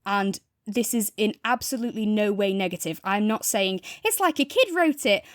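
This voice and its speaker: A woman's voice: mid-range voice, animated, normal speed, no echo, studio quality. A woman co-hosting a podcast, chatting casually and enthusiastically as she reviews. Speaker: podcast co-host reviewing audio dramas.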